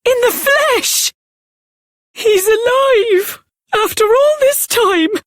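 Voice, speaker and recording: A woman's voice: extremely high pitch, extremely slow, dry recording, studio quality, full character voice. An actress playing a loving, emotional mother in a fantasy game, with a tender, effusive delivery. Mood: overjoyed. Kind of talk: theatrical fantasy game voice acting.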